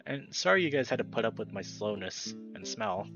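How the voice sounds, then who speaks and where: Man doing a gentle big-eared creature guy, apologetic, friendly, lively pitch, dull sound, guitar music behind. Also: brisk.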